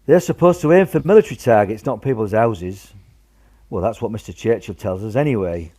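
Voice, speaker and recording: A man's voice: on the low side, animated, a little fast, mellow. An actor playing a blunt, reassuring grandfather and war veteran in an amateur radio drama, with a folksy manner. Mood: defiant, jovial. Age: older. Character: gruff, reassuring grandfather, wartime veteran, family patriarch.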